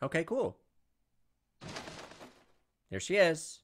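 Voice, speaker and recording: A man's voice: a little high, wildly swooping pitch, very slow, a bit bright, slight background noise. A man giving casual playthrough commentary on a game, thinking aloud and reading on-screen text as he goes. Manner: thinking aloud, talking to himself. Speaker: gaming video host. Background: game music.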